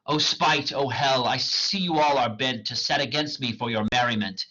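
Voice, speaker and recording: A man's voice: mid-range voice, moderate pace, bright, forceful tone, voice pushed up. A man reading the part of a hurt, indignant young woman aloud. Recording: dull lo-fi recording.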